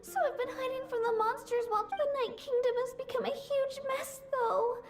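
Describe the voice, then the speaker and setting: A woman voicing a timid, earnest young girl in an anime game, with a small childlike voice and a halting delivery. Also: very high-pitched, steady tone. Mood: urgent.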